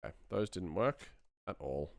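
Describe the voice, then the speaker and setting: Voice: low voice, expressive, normal speed, vocal fry, noisy background. A sound designer talking casually through work on screen, musing aloud and muttering playfully. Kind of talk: casual screen-share workflow commentary, thinking aloud.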